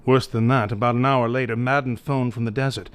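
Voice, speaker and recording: A man's voice: medium pitch, fairly even intonation, a little fast, a bit bright, clean tone, natural voice. Man as worried middle-aged father, medium voice. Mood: worried, earnest. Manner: earnest, uneasy. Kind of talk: audio re-creation of a 1930s mystery film.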